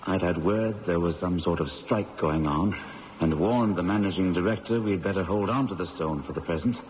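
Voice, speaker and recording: A man's voice: low-pitched, normal speed, darkish tone, close to an everyday voice. An actor playing a worn-out, desperate diamond firm manager in a radio drama, confiding his story. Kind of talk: 1970s radio drama acting. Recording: muffled old radio broadcast with faint hiss.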